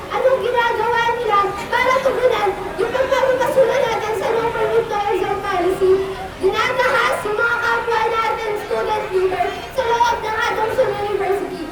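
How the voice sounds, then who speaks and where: A young woman with a Filipino accent giving an indignant, projected protest speech as a student rally speaker, full of rhetorical questions. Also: very high-pitched. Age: young adult. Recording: echoey, distant, loud rally crowd.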